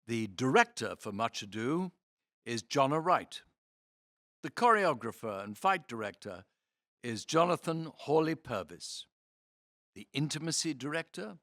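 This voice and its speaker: A man narrates in a theatrical, carefully phrased way, his pitch moving animatedly up and down. He takes his time over each phrase, reading from a script at a slow, deliberate pace. The sound is dry and close. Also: bright, forceful tone, dry recording. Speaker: reader of an audio theatre programme. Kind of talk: scripted read-aloud narration of programme notes and cast list.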